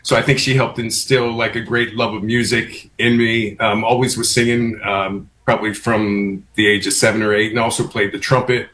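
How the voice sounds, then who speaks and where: A man's voice: on the low side, level tone, moderate pace, few pauses, a bit bright, a little hiss or hum, poor audio. A man from Baltimore, a musical theater actor, talking friendly and upbeat in an interview over a video call. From Baltimore, USA. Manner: friendly, upbeat, some fillers.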